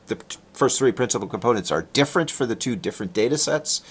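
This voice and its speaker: Man lecturing with lively, varied intonation. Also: mid-range voice, quick pace, breathy edge. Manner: explanatory, conversational, with rhetorical questions. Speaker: researcher presenting. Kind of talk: technical lecture with explanatory narration of slides.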